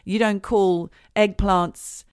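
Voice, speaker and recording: A woman's voice: medium pitch, fairly even intonation, takes it slowly, clean tone, no echo, studio quality. A middle-aged woman co-hosting a casual comedy podcast, telling stories in a chatty way. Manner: chatty storyteller, sings snatches of songs. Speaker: podcast co-host.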